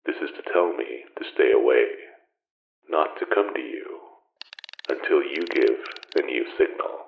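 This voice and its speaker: A man playing a secretive, careful magic user in an audio drama, speaking in a measured, formal, gravelly voice through muffled, roomy sound. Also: extremely low pitch, full character voice. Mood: grave, cautious. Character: secretive, careful, long-concealed man of magic, calmly cautioning. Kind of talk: audio fiction drama acting.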